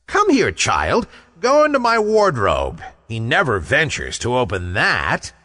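An overworked, domineering storybook giantess, acted out by a man. The delivery is loud and theatrical, slow, with pitch that swings widely. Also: huge pitch swings, big-room sound, exaggerated voice. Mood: approving, urging. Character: overworked, bossy giantess, loud scolding wife. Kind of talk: storybook character voice.

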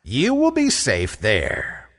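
A man voicing a loud, domineering giantess, an overworked storybook wife, in a theatrical manner. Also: mid-range voice, wildly swooping pitch, slow pace, in a large hall, heavily acted. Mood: approving, urging. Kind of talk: storybook character voice.

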